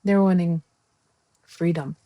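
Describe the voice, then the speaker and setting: Woman, podcast guest, impassioned, lots of ums, lowish voice, dry. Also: fairly even intonation, takes it slowly, no echo, dull audio. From United States. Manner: earnest and impassioned, frequent ums and restarts. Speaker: guest, democracy advocate. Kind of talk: conversational podcast interview.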